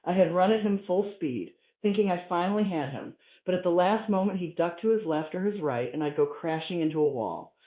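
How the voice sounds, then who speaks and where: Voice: mid-range voice, expressive, brisk, hardly any pauses. A person reading a humorous first-person story aloud as scripted narration. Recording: echoey room, thin phone-like sound.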